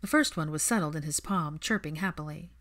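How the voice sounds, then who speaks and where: A woman's voice: sits low, fairly quick, fairly bright tone. A woman reading fiction aloud as an audiobook narrator.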